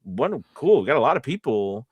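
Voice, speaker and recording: A man co-hosting a casual livestream as a voice actor, talking in a rambling, halting way and often correcting himself. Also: medium pitch, very animated, husky, no room sound, muffled recording.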